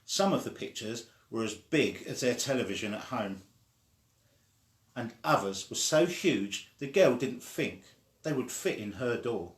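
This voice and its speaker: A man reading a children's story aloud in a deliberate, simply phrased way. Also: mid-range voice, lively intonation. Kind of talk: slow read-aloud storytelling. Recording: echoey room.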